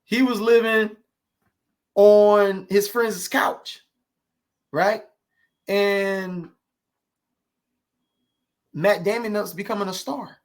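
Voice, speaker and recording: A man's voice: sits high, fairly even intonation, very slow, long pauses. A man speaking as an interview guest, telling stories conversationally with emphatic rhetorical questions and check-ins with the listener. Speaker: podcast guest, actor.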